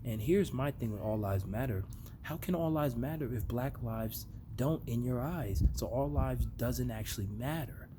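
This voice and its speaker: An American man talking earnestly and reflectively to his viewers in a casual motivational monologue. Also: medium pitch. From United States. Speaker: vlogger. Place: outdoor backyard. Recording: noisy amateur recording with ambient noise.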